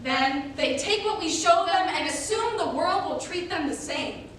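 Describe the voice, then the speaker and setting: A woman's voice: very high-pitched, animated, a little slow, fairly bright tone. A young adult woman giving a rehearsed stage presentation as a performer. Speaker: college forensics performer. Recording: very echoey room, distant mic, faint noise.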